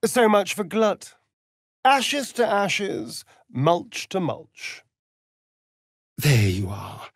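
An actor playing a vain, sardonic vampire rogue in a fantasy video game, with an arch, drawling, theatrical delivery. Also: male voice, high voice, huge pitch swings, extremely slow, dry recording, clean studio sound, full character voice. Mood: mocking.

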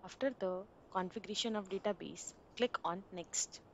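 A woman narrating a screen-recorded software installation walkthrough, giving plain step-by-step instructions over a desktop mic. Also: medium pitch, moderate pace, gravelly, a little hiss or hum.

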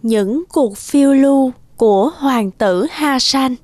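A woman's voice: high-pitched, slow pace, a little mellow. A woman giving scripted narration of a fairy tale as the storyteller. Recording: music behind.

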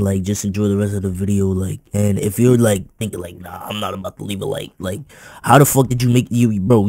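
Gravelly deadpan young man. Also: fairly low pitch, no room sound. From Pennsylvania. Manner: laid-back, deadpan teasing with slang. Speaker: streamer chatting with strangers. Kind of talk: casual video chat banter. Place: bedroom with gaming chair. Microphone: headset and desk mic.

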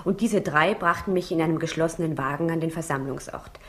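Female lead for a vintage radio crime play: deep voice, measured, wry, vivid storyteller. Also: a bit bright. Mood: intrigued recounting. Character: woman recounting a secret cult meeting, intrigued, wry. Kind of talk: 1960s German radio drama acting.

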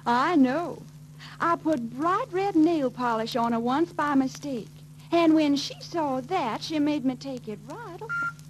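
An actress playing a chatty, sly young beauty parlor operator in 1940s film style. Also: female voice, sits high, expressive. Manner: drawn-out, knowing gossip. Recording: faintly noisy 1940s film soundtrack.

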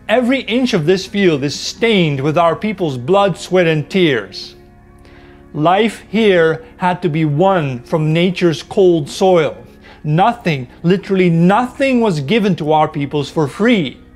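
A man's voice: a little high, darkish tone. A man in his fifties from the Netherlands, with Dutch as his first language, giving a solemn, impassioned spoken essay outdoors in a forest. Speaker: video essay host. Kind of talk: earnest spoken essay to camera.

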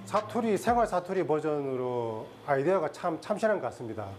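A man's voice: on the high side, big pitch swings, a little fast, music in the background. A man from Daegu with a Gyeongsang accent, chatting in a friendly interview. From Daegu, South Korea.

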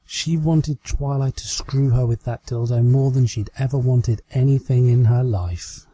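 A man's voice: medium pitch, a little slow, dark tone, slightly rough. A British man reading a story aloud as a scripted amateur narration. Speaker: amateur fan fiction reader.

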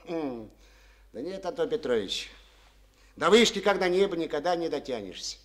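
A man's voice: a little high, animated, moderate pace, natural voice. An actor playing a resentful, stubborn collective-farm chairman in a studio radio play, speaking in a folksy way full of proverbs. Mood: bitter.

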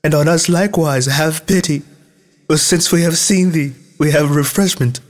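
A man's voice: fairly high pitch, moderate pace, voice pushed up, lightly acted. A man voicing damned souls who cry out for mercy in a pleading manner, in a scripture reading. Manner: pleading, crying out. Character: wailing crowd of damned souls begging for mercy.

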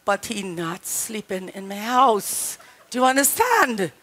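A middle-aged woman pastor with a Trinidadian accent, a little hoarse, preaching earnestly to her congregation through a headset mic in a small studio. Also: mid-range voice, big pitch swings, a little slow, a bit bright. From Trinidad and Tobago. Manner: earnest, emphatic, direct address to congregation. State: slightly hoarse. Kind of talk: sermon.

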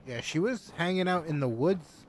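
A man chatting and joking excitedly while playing a co-op game with friends. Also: on the high side, normal speed, slight background noise. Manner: jokey, excitable outbursts. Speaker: gaming channel host. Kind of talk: casual gaming chat with friends.

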